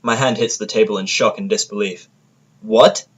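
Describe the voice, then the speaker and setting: A man's voice: on the low side, steady tone, quick pace, a bit bright, slightly rough. A man narrating a first-person audio drama from a script, with a sardonic manner.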